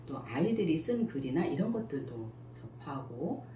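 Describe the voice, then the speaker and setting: A middle-aged woman, a school principal and picture book author, answering interview questions calmly and thoughtfully, with many pauses and fillers. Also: low-pitched. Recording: echoey room with faint noise.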